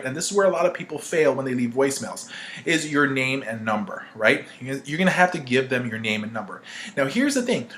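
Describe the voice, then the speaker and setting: A man's voice: mid-range voice, fairly bright tone, a little hiss or hum. A man giving an informal instructional marketing-training talk, conversational and emphatic, often checking in with "right?" as he makes his points. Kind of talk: informal instructional talk with acted-out example calls.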